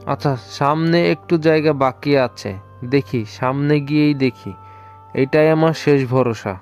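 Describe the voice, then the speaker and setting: A man's voice: fairly high pitch, moderate pace, a little mellow, close to an everyday voice. A man playing a stubborn old village weaver in a cartoon, muttering to himself and exclaiming as he complains. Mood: worried, searching. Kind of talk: cartoon voice acting. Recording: dull lo-fi audio with music behind.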